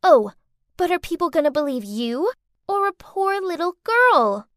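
A woman playing a high, whiny scheming little girl. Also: very animated, a little slow, no room sound, studio quality, heavily acted. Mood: sly. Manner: whiny and tearful, turning spiteful. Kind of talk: storytelling voice acting.

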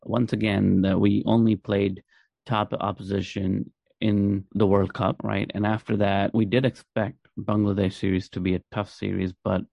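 A man with a low, gravelly voice talks casually as a sports podcast co-host. He explains his points conversationally, often posing questions. The audio is dry and somewhat dull. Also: little pitch movement, moderate pace, no echo. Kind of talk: casual cricket discussion.

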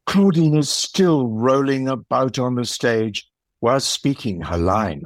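A man narrating an audio play as a scripted storyteller, in a measured manner. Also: medium pitch, expressive, dry recording.

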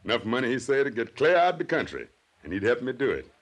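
An actor playing a slow-witted, eager drifter on the run in a 1950s radio drama, talking in folksy, ungrammatical phrases with hesitant chuckles. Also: male voice, medium pitch, very animated.

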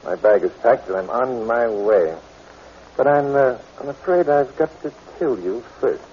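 A cold, greedy killer from a 1940s radio crime drama, played by a male actor. He speaks in clipped, menacing orders at a middling pitch. The recording is dull and faintly noisy. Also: expressive, measured pace, lightly acted. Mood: cold menace.

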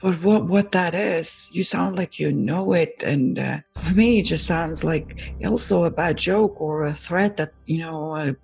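A woman playing a tabletop roleplaying game, improvising in character with hesitant, halting phrasing. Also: on the low side, vocal fry, a little mellow, breathy edge, soft background music.

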